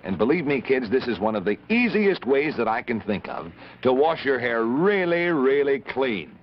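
Man, thirties, upbeat children's presenter, lively pitch swings, dull vintage television sound. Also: moderate pace, slight background noise. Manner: animated, addresses children directly. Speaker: children's show host.

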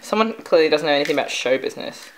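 A woman, bantering casually from behind the camera in a teasing, deadpan way. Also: deep voice, very fast. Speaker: camera operator and interviewer. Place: home kitchen, indoors. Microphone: behind a handheld camera. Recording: noisy kitchen with clattering.

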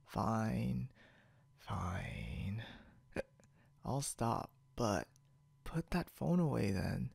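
A man's voice: on the low side, animated, creaky, darkish tone, husky, dry recording, full character voice. A man playing a tired, playful drunk young man, rambling and giggly in an intimate ASMR roleplay, close to the mic. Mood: playful, teasing. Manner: rambling, slurred-slow, giggly, whispery at times. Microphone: close microphone, dry.

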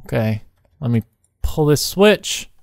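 A man giving casual co-op gaming commentary, thinking aloud in short, halting phrases. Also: mid-range voice, expressive, creaky, dry recording, clean studio sound. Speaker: gaming video host, player.

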